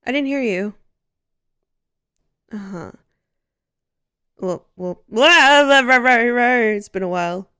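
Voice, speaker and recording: A woman's voice: fairly high pitch, expressive, drawn-out words, pauses often, bright, forceful tone, clear, resonant tone. A woman ASMR creator in casual outtakes, playfully stumbling over script lines and restarting them with nonsense syllables.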